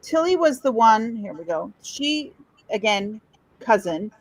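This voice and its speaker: A woman's voice: a little high, sing-song, measured pace, no room sound, poor audio. A woman from Chicago, a podcast guest, telling a family story in a rambling way that trails off and circles back. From Chicago, USA. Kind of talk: casual storytelling conversation.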